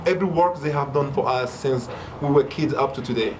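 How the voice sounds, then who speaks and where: A man's voice: mid-range voice, fairly quick, noisy background. A man whose first language is French, a musician chatting in an interview with a noticeable accent. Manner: speaks with an accent, breaks into song. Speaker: musician guest. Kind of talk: casual interview with sung excerpts. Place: outdoor concert venue.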